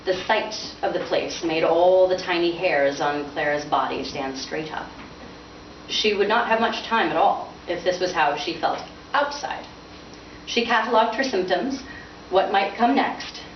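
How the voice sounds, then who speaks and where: Woman author at a book reading; lower-pitched voice, picked up from a distance with noise. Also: measured pace, muffled recording. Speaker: author reading from own novel. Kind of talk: informal book talk and dramatic prose reading. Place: bookstore reading event. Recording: roomy, distant, noisy bandlimited event recording.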